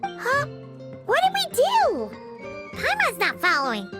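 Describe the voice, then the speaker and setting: A woman voicing a tiny, excitable, childlike fairy companion in a video game, blunt and cheerful. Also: very high-pitched, slow pace, full character voice. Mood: awkward. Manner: speaks of self in third person, exclaims. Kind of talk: video game character acting. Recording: loud music behind.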